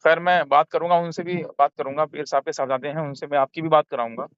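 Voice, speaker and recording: A man speaks quickly in a clean, smooth voice with little pitch movement. He is respectful and agreeable in a casual phone conversation, heard through a slightly dull recording. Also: steady tone, dry recording. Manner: agreeable, deferential, frequent short affirmations. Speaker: mufti on a phone call. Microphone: phone call.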